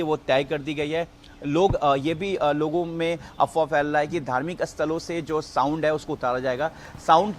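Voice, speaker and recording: A man giving an unscripted live news report, explaining in a halting, repetitive way. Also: fairly high pitch, a little hiss or hum.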